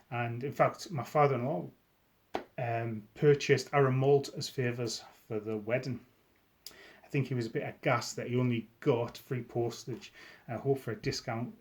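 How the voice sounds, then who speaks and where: Easygoing Scottish man chatting to camera. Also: mid-range voice. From Scotland. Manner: chatty and easygoing, with frequent restarts. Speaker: whisky vlogger. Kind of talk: casual chat to camera.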